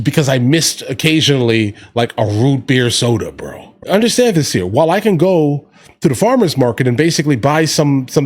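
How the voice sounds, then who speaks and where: Slightly husky man giving opinionated political commentary. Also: fairly high pitch. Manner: conversational, opinionated, slangy asides to viewers. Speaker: reaction commentator.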